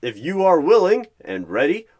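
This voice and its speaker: A man playing a boastful, over-excited job recruiter who announces interview lines like a game show host in a roleplay. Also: fairly high pitch, normal speed, pitched above the performer's own voice. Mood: gleeful announcing.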